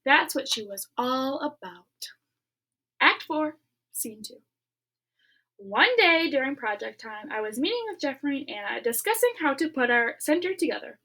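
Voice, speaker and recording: A woman with a high voice reads a children's novel aloud to her class. She is expressive, dropping into quiet, whispered beats and stopping for her own teacherly asides. The reading is clear and storytelling in tone. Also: moderate pace, rough recording.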